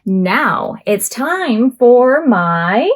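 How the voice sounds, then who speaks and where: A small, eager storybook boy, voiced by a woman reading aloud. The voice sits fairly high, with earnest, expressive delivery suited to a picture book for very young children. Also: very slow, exaggerated voice. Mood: pleased, eager.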